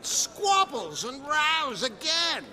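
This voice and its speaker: A man's voice: extremely high pitch, very animated, very slow, bright, forceful tone, full character voice, rough recording. A man playing an indignant, sneering tavern patron in a fantasy game. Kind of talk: fantasy video game acting.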